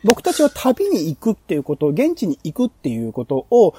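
A man's voice: high-pitched, wildly swooping pitch, moderate pace, warm tone, breathy edge, no room sound. A man hosting a podcast planning chat, explaining his ideas as he thinks aloud. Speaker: podcast host presenting program ideas. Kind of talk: casual planning-meeting talk.

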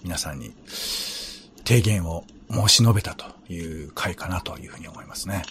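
Low-pitched man, measured and formal. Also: a bit bright, a little hiss or hum. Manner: measured, mock-formal, deliberate. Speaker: show presenter. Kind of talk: relaxed round-table chat.

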